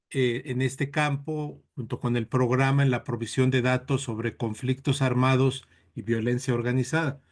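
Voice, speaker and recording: A man who coordinates a seminar introduces the guest speaker politely, with frequent fillers. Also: medium pitch. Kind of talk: formal introduction.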